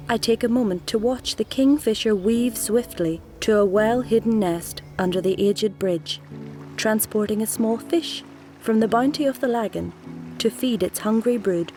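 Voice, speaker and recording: A woman reading a literary story aloud in a measured, deliberate way, as a scripted narration. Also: medium pitch, warm tone. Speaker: actor reading a nominated story.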